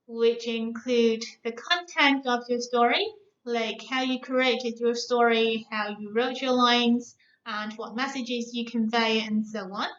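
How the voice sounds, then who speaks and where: A woman's voice: on the high side, steady tone, slow, a bit bright. Woman judge, orderly explanatory address to contestants. Echoey room, slightly dull, muffled recording. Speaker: competition judge. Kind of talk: prepared announcement to contestants.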